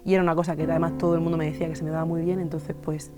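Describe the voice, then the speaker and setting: Spanish woman in her 20s, low flat voice, thinks out loud slowly, soft guitar behind. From Spain. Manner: thoughtful, many pauses and fillers. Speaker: illustrator and musician interviewee. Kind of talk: reflective interview monologue.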